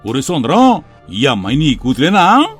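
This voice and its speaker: A man voicing a scheming middle-aged uncle in an animated story, sly and wheedling. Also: on the high side, normal speed, breathy edge, soft background music, full character voice. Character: scheming middle-aged man plotting to seize his sister's estate.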